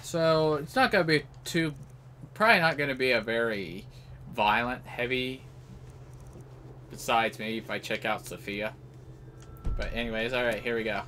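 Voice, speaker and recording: A man's voice: medium pitch, normal speed, pauses often, sharp tone, slight background noise. A man giving casual live commentary as host of a gaming video.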